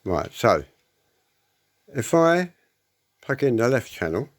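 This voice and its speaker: Guy explaining some audio gear casually, mid pitch, repeats himself, keeps checking you follow. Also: very animated, slow pace. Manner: explanatory, repeats points and checks with tag questions. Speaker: presenter demonstrating an audio equipment fault. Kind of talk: casual spoken demonstration.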